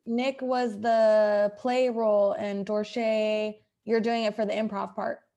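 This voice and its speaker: A woman's voice: mid-range voice, flat delivery, slow pace, mellow. A woman speaking casually as the host and director of a podcast show. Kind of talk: casual, informal show hosting.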